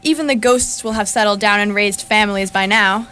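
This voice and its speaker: A woman's voice: medium pitch, brisk, bright, forceful tone, smooth, natural voice. A woman playing a brash, thrill-seeking spaceship captain in comic radio theatre, dismissive and commanding. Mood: cocky, excited. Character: cocky, thrill-seeking spaceship captain chasing fame and money. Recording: noisy live stage recording.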